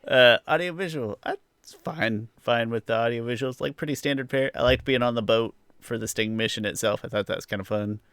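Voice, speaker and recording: A man's voice: mid-range voice, brisk, fairly bright tone, clear, resonant tone, no echo. A man hosting a podcast, chatting casually through a review in an informal, self-deprecating way and thinking aloud. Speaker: podcast host.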